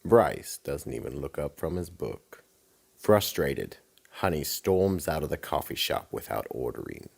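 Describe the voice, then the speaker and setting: A man with an extremely deep, gravelly voice narrates a horror radio play. He reads slowly and deliberately, with faint noise behind. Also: big pitch swings, darkish tone. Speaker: narrator, announcer.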